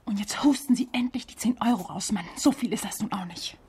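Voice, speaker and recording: An actress playing a chatty, cheeky and loyal office assistant in a naturalistic radio drama, speaking colloquially and groping for words. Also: female voice, medium pitch, fairly quick, darkish tone, slight background noise, natural voice. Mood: indignant, scolding.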